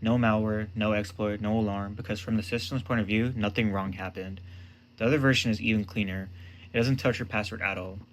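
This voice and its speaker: Male explainer presenter, lowish pitch, scripted delivery in short emphatic phrases, faint background noise. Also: fairly even intonation, fairly quick.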